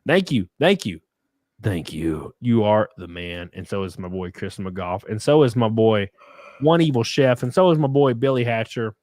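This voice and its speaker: A man's voice: fairly low pitch, expressive, normal speed, a little mellow, no echo, clean studio sound. A man hosting a livestream, thanking donors in a rambling, profane way, sniffly and a bit tipsy. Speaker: streamer host. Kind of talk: casual livestream chat, reading donations.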